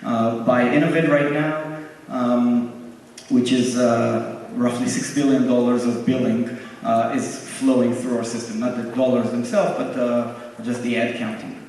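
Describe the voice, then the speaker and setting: A man with an Israeli accent speaking on a conference panel, halting often and joking about himself. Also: medium pitch, little pitch movement, darkish tone. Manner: halting with many "uh"s, self-deprecating. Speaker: panelist, tech co-founder. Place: large conference hall. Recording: echoey hall from far off, faint noise.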